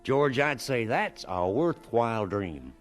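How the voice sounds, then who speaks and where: Hearty prairie settler, wildly swooping voice. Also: male voice, medium pitch, sing-song, slow pace, soft background music. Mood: practical, approving. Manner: folksy, hearty, plainspoken. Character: hearty, practical prairie settler, older friend. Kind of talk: radio drama acting.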